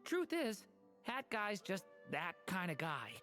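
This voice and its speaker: A woman's voice: mid-range voice, sing-song, takes it slowly, a bit bright, soft background music. An actress playing a thoughtful, plain-spoken young woman in a video game. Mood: thoughtful, matter-of-fact.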